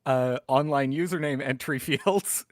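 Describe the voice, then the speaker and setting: Guy player doing a casual intro, wordy and precise, mid pitch, close dry mic. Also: measured pace, dry recording. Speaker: player in a tabletop game.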